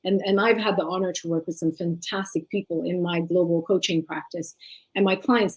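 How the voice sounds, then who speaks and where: Brief: woman, low-pitched, Sweden-raised, Tigrinya first language, thoughtful coach in interview. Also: a little mellow. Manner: reflective, measured, uses many fillers. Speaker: life and leadership coach, guest. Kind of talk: interview conversation.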